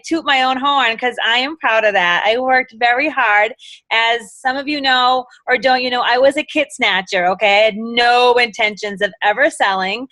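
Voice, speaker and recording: A woman's voice: fairly high pitch, no echo. A middle-aged woman with a Boston accent gives an enthusiastic, rambling motivational talk as a guest speaker, often drifting off topic. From Massachusetts. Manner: enthusiastic, rambling, quotes others in lively voices.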